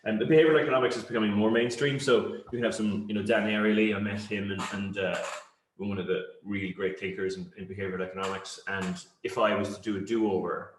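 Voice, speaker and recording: Middle-aged Cork Irish man as interview guest, lowish voice, reflective and halting delivery, roomy echoing sound. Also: fairly even intonation, a little hiss or hum. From Cork, Ireland. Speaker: interview guest, company founder. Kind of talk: conversational interview answers.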